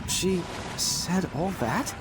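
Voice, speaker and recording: An actor playing a goofy, excitable teenage twin brother in a video game cutscene, slangy and breezy. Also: mid-range voice, drawn-out words, heavy background noise. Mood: incredulous. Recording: loud music behind the voice.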